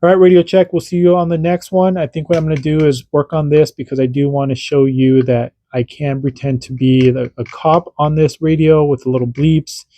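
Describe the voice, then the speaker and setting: A man's voice: fairly high pitch, level tone, fairly quick, hardly any pauses, warm tone. A man and parent, hosting a casual hands-on radio demo and explaining in an informal, rambling way that often restarts sentences. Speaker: radio hobbyist host.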